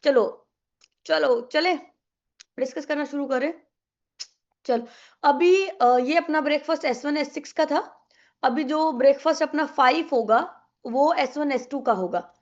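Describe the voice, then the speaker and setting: A woman's voice: high voice, a little slow, lo-fi sound. A young woman teaching an online exam-prep English class with a sore throat, chatty and coaxing, mixing English into her Hindi.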